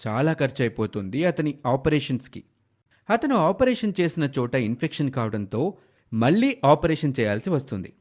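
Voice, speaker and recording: A man's voice: fairly high pitch, a little mellow. A man narrating a scripted moral tale as a storyteller.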